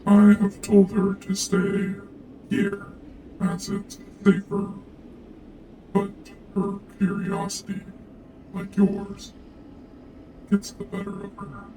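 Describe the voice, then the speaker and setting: A man voicing a calm underwater guardian creature with a measured, formal, halting delivery. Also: high-pitched, voice pushed up, rough recording. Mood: patient, gentle. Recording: music behind the voice.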